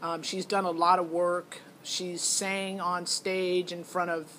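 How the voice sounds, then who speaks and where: A woman's voice: lowish voice, flat delivery, takes it slowly, a bit bright, a little hiss or hum. A middle-aged American woman giving a casual, opinionated, unscripted monologue that rambles and digresses, as a parent vlogger.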